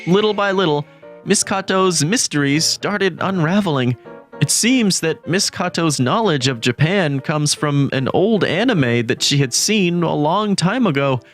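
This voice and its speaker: A man voicing an earnest, lovestruck teenage student who narrates a scripted manga story in a measured way. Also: a little high, animated. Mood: earnest, reflective. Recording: background music under the voice.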